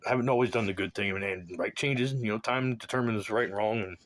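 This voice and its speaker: A man from South Florida talking in a rambling personal monologue, halting and full of restarts, often trailing into low mumbles. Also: fairly bright tone. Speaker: man recounting his life.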